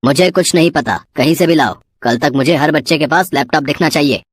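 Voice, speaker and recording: Woman voicing a stern male teacher, low. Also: brisk, breathy edge. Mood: scolding. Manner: strict, scolding. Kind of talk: cartoon voice acting.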